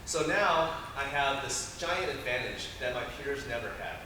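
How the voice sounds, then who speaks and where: A man's voice: medium pitch, expressive, moderate pace, sharp tone. Joking man in an echoey hall. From Taiwan. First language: Chinese. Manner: joking, self-deprecating, many fillers. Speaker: conference presenter. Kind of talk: casual conference talk. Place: large conference room. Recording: echoey hall from far off with noise.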